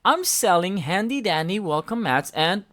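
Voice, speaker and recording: A woman's voice: mid-range voice, sing-song, moderate pace, a bit bright, voice pushed up. A woman voicing a polite, flustered male monster salesman with a fussy, over-courteous manner.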